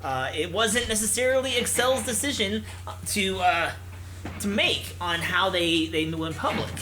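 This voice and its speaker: A man hosting a let's play, reading a visual novel aloud in an expressive narration. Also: high-pitched, unhurried, a little hiss or hum, poor audio. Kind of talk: expressive read-aloud narration with casual asides.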